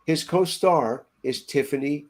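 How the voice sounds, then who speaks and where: A man's voice: fairly high pitch, measured pace. An older man speaking as a panelist in a casual podcast discussion. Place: room at home, indoors.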